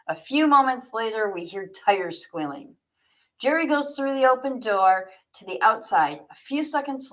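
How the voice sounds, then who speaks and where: A woman's voice: mid-range voice, fairly bright tone. A woman author hosting a livestream, reading her own story aloud and chatting to viewers.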